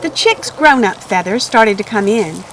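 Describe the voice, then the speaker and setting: A woman's voice: mid-range voice, expressive, measured pace, heavy background noise. A woman narrating a children's storybook expressively. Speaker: storybook reader.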